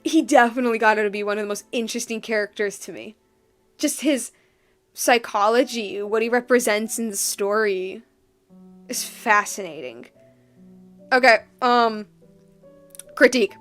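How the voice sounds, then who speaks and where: A woman's voice: on the high side, moderate pace, long pauses, a bit bright, faint music behind. A woman giving casual, unscripted game commentary as a streamer, rambling and emphatic, often repeating her phrases.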